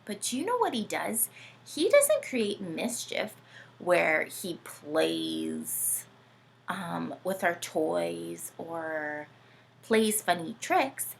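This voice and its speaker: A woman's voice: lowish voice, extremely slow, gravelly, slight background noise. A woman reading and chatting to young children in a playful, sing-song way, often asking them questions. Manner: sing-song, animated, asks the listeners questions. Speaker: children's read-aloud host. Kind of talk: playful read-aloud and chat addressed to young children.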